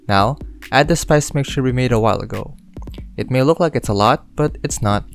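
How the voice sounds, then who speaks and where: A man from the Philippines walks viewers through a home recipe in voice-over. He speaks in a relaxed, instructional way with occasional dry jokes, and faint music plays behind him. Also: medium pitch. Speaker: cooking channel host. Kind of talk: voice-over cooking narration.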